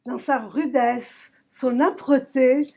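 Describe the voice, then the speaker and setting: A woman from southern Italy, a poet giving a reflective talk about her work, searching for words with emphatic pauses. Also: high voice, steady tone, gravelly, dark tone. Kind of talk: reflective talk at a poetry recital. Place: public library event.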